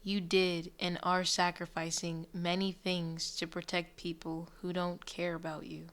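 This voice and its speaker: A woman playing a calm, supportive therapist in a radio drama, speaking in a measured, earnest, reassuring way. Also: fairly low pitch. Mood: gentle encouragement. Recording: music bed under the voice.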